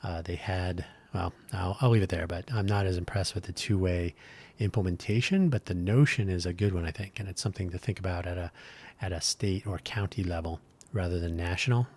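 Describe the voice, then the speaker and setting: A man's voice: low voice, a little fast, darkish tone, slightly rough. A middle-aged man hosting a solo podcast, his throat dry and scratchy, rambling thoughtfully with many fillers and restarts. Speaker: podcast host.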